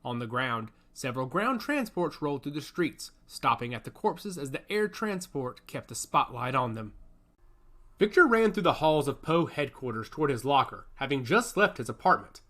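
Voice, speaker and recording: Expressive male storyteller with a bright, forceful voice. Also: medium pitch, normal speed. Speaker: audiobook narrator. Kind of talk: dramatic scripted fiction narration.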